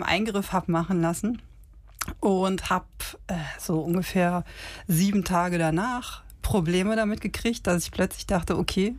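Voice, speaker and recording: A woman's voice: on the low side, no echo. Fifty-something woman, dry close podcast voice. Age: 50s. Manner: animated retelling with many fillers. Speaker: podcast co-host. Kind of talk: casual chat, storytelling.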